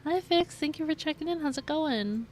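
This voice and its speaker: A woman's voice: high voice, animated, moderate pace, a little mellow. A woman streaming a video game, chatting casually and reacting in short remarks. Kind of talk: casual live reaction chat. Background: game music.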